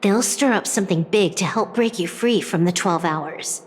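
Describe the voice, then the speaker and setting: A woman's voice: lowish voice, normal speed, a little hiss or hum, lightly acted. An actress playing a cool, blunt strategist woman in a video game, dry, unruffled and portentous. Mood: matter-of-fact. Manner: dry, matter-of-fact, portentous. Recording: clear music underneath.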